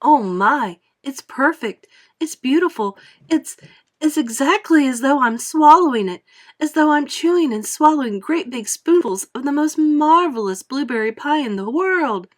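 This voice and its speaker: A woman's voice: high voice, big pitch swings, a little slow, few pauses, voice pushed up. A woman reading aloud, voicing a spoiled, greedy girl who chews gum and talks in a dreamy, bossy drawl. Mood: gushing.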